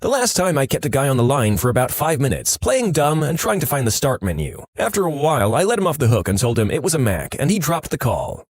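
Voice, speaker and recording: A man reading online stories as a scripted podcast narration, with an animated storytelling delivery, close on the microphone. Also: medium pitch, fast talker, hardly any pauses, dry recording.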